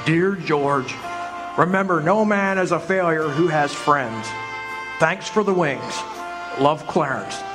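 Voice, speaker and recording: Man, live radio drama, overjoyed and breathless, high pitch, music faint. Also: slow pace. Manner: excited, breathless joy. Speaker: actor playing George Bailey. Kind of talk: live community radio play acting.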